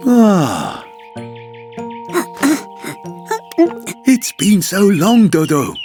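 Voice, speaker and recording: A woman voicing a gentle, caring parent in a children's cartoon, soothing and expressive. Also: medium pitch, slow. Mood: welcoming. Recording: light music behind.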